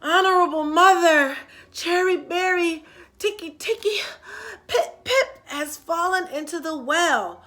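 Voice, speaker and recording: A woman's voice: very high-pitched, slow, sharp tone, voice pushed up, exaggerated voice. A woman voicing a small, breathless boy who begs for help and keeps repeating a long name. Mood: frantic. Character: small frantic boy, breathless older brother.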